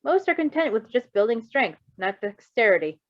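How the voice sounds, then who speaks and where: A woman voicing a calm, curious adult male superhero with composed, precise and formal phrasing in an amateur fan fiction reading. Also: a little high, moderate pace, highs cut off.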